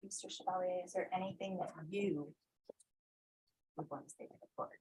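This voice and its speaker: A woman's voice: on the low side, steady tone, brisk, a little mellow, slight background noise, low-quality recording. An American woman working as a defense attorney, questioning formally in a courtroom.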